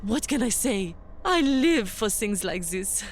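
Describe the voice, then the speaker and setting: A woman's voice: medium pitch, very animated, unhurried. Female scientist, acted by a woman: methodical, formal, curious, mid-range voice, faint noise behind, audio drama. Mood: analytical, curious. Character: inquisitive, precise woman scientist-engineer.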